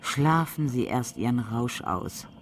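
A woman's voice: rumbling low, lively intonation, slow, slight background noise, exaggerated voice. A woman playing a coarse, bossy older doctor's wife in a German radio drama, speaking curtly and commandingly. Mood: curt dismissal. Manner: brusque, commanding.

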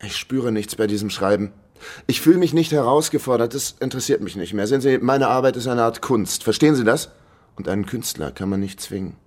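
An actor playing a cheeky, bluffing amateur detective and wry narrator in a German radio crime drama, deadpan and self-assured. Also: male voice, medium pitch, a little fast, close to an everyday voice. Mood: bluffing, self-important.

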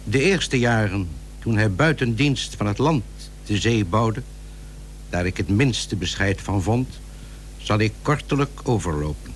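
A man playing a formal chronicler who narrates in archaic, measured prose in a Dutch radio play. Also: lowish voice, lively intonation. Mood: formal, solemn. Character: formal old-time chronicler reading his account. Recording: old radio recording, faint hum.

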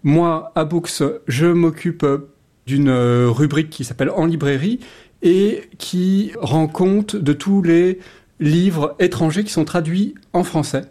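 A male journalist speaks as a guest in a radio interview, his voice fairly high and mellow. He explains things at length, stopping often with fillers to find his words. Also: slow. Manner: hesitant, many fillers.

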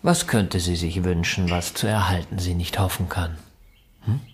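An actor in a German radio drama playing a tense, bitter husband, clipped, formal and defensive. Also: male voice, low-pitched, fairly even intonation, moderate pace, lightly acted. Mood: cool, defensive. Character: tense, bitter husband in a wheelchair, coolly stubborn.

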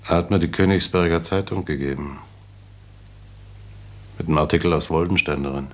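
An actor playing a cautious, mild small-town mayor in a German radio play, hesitant and understated, with a husky voice. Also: male voice, low voice, fairly quick. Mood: uneasy.

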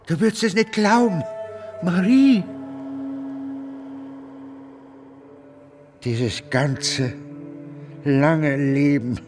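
An actor playing a lonely old mountain man, musing haltingly and tenderly to himself in a radio drama. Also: on the high side. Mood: tender longing. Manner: halting, musing self-talk. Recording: music behind the voice.

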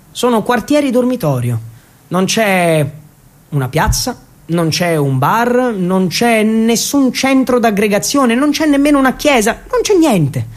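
A man narrating radio theatre in an irreverent, emphatic way, full of rhetorical questions. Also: high-pitched. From Abruzzo, Italy. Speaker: solo narrator and actor. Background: music.

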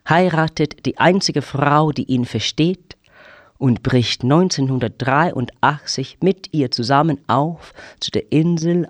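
A man narrating a radio drama in a measured storytelling manner. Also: medium pitch, no room sound. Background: music.

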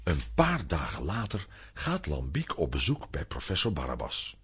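A man with a husky voice narrating a scripted children's radio play as an animated storyteller. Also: very deep voice, normal speed.

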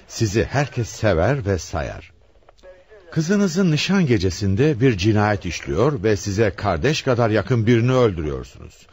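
An actor playing a formal, probing police commissioner in a Turkish radio play, measured and emphatic. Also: male voice, medium pitch, husky. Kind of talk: Turkish radio theatre acting.

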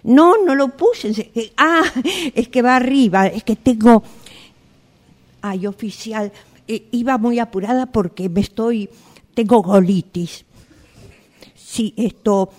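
A woman's voice: medium pitch, darkish tone. A woman improvising comic dialogue as a flirtatious, flustered driver, coy and hesitant. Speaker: radio drama workshop participant.

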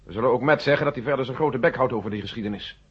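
An actor playing a blunt, authoritative male general with a husky voice in a science-fiction radio play. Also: medium pitch, very fast, natural voice. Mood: worried, stern. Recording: muffled vintage radio recording.

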